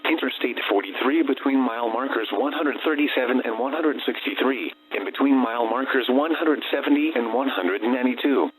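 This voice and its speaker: A synthetic man's voice reads automated weather warnings as a radio announcer, with flat, formal, segmented delivery. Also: medium pitch, brisk, hardly any pauses, crowd noise. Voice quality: synthetic text-to-speech voice. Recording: noisy, humming weather radio broadcast.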